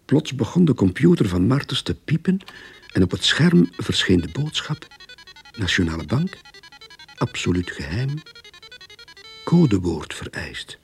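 Detective radio drama narrator, super deep and husky, suspenseful, animated delivery. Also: male voice, measured pace, pauses often, faint music behind, heavily acted. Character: male storyteller narrating a suspenseful detective tale.

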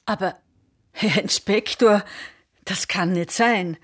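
Worried, deferential Austrian daughter. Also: female voice, medium pitch, slow. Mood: anxious, bewildered. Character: worried, sleepy daughter caring for frail mother. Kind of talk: radio drama acting.

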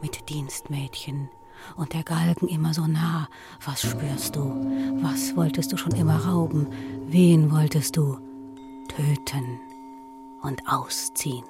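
Soothing meditation guide, slow, bits whispered, music with singing bowl behind. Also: female voice, deep voice, steady tone. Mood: soothing, intimate. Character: soothing female guided-meditation narrator, mock self-help.

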